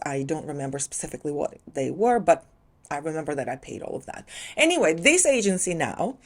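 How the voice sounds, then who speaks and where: Woman vlogger, thirties, non-native English speaker from Ukraine; low-pitched, chatty and animated. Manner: chatty and lively, with sudden rises in pitch for emphasis. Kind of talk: personal vlog storytelling.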